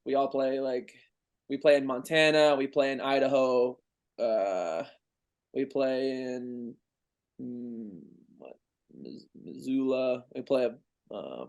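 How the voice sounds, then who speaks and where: Man in his twenties, casual rambling guest. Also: mid-range voice. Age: 20s. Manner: relaxed, rambling explanations with many restarts. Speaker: pro baseball player, podcast guest. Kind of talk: casual chat.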